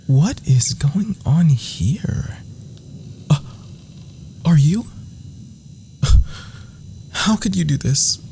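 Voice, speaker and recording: Swooping, theatrical, flirtatious villain. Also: male voice, high-pitched, a little mellow, a little hiss or hum, exaggerated voice. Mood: mock outrage. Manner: melodramatic, swooping, purring whispered pet names. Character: theatrical, flirtatious villain lover, gleefully sadistic and doting. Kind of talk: ASMR roleplay acting.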